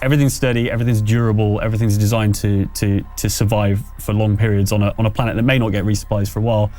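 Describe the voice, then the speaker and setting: A man running a tabletop horror game as game master, improvising descriptions in a halting, conversational way. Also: lowish voice, little pitch movement, warm tone, faint music behind.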